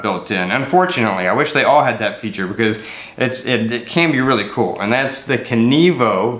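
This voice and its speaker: A man in his forties giving a casual, unscripted product comparison as a reviewer, explaining conversationally and haltingly, with repeated words. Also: mid-range voice, a bit bright. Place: medium furnished room indoors. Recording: roomy indoor echo.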